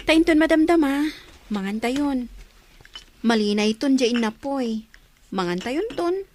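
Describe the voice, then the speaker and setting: An actress playing a dutiful, caring young daughter in an Ilocano radio drama, earnest and expressive. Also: female voice, a little high, a little hiss or hum. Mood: caring, inviting. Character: dutiful young unmarried daughter, caring and exasperated with her brother.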